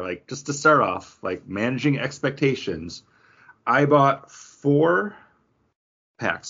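Guy podcast host, kinda raspy, joking around casually, dull lo-fi recording. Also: lowish voice, fairly bright tone. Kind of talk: casual chat.

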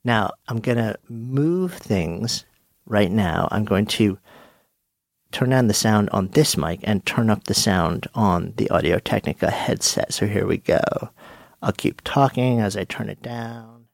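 A man's voice: fairly low pitch, expressive, measured pace, creaky, dry recording. A man giving a casual, friendly walkthrough of his podcast gear, switching between a studio mic and a headset mic. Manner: friendly, demonstrative, self-deprecating. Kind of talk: casual explainer talk. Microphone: studio dynamic mic on swing arm, later headset mic. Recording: dry close-mic sound.

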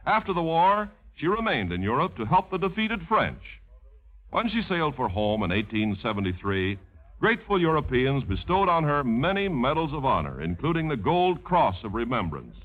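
A man's voice: mid-range voice, sing-song, moderate pace. A man announcing and reading scripted narration in 1950s radio style. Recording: muffled, phone-like vintage radio broadcast.

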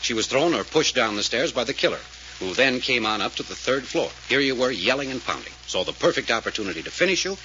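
A brisk, decisive radio detective of the 1940s, grimly certain and authoritative. His delivery is fast and animated, with swooping pitch. The old recording is muffled, with some noise. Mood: firm, certain. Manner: firm, authoritative, explaining. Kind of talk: 1940s radio mystery acting.

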